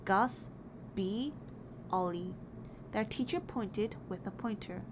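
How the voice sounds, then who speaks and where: Woman reading a storybook over clear noise. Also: mid-range voice, slow pace. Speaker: picture book reader. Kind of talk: read-aloud children's story narration. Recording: clearly noisy background.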